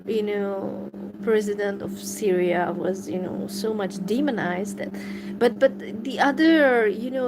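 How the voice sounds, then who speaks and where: Non-native English-accented woman streamer, unhurried and hesitant, steady noise under the voice. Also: fairly high pitch. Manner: hesitant, many fillers and restarts, soft laughs. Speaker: livestream host. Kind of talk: casual live-stream chat, reading messages. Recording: noisy background.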